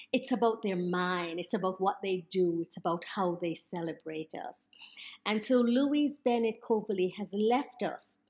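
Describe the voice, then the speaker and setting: Older Jamaican woman, measured and emphatic. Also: medium pitch. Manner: measured, emphatic, with list-like repetition. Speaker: poet paying tribute. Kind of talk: prepared spoken tribute. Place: home study with bookshelves. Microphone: video call webcam.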